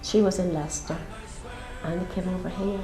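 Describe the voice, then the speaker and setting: A woman, recalling her move in a gentle, hesitant way. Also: on the low side, fairly even intonation, brisk, over music. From County Fermanagh, Ireland. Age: late 60s. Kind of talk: oral history interview. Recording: roomy echo.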